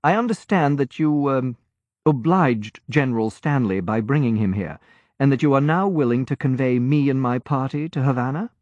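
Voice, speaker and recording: A man's voice: medium pitch, normal speed, a little mellow, dry recording, pitched above the performer's own voice, close to an everyday voice. A man reading an audiobook and voicing a polite aristocratic officer with a formal, dryly ironic manner. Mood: courteous. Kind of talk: audiobook character voice. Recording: dull, muffled audiobook recording.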